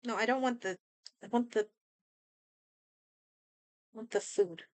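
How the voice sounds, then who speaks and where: A woman streamer chatting casually and thinking aloud in an expressive way as she comments on a game. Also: fairly high pitch, a little slow, dry recording, poor audio.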